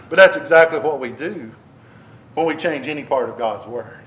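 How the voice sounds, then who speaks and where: High-voiced man preaching. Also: moderate pace, fairly bright tone, poor audio. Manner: conversational, repeats and restarts, rising emphasis on questions. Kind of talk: sermon, Bible teaching. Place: church building. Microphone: microphone.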